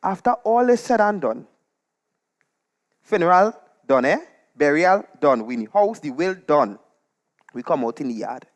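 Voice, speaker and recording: A man with a Trinidadian accent performing a comic, gossipy monologue on stage in dialect with animated, dramatic delivery. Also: on the high side, unhurried, pauses often. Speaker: storytelling performer. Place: live on stage at a performance venue.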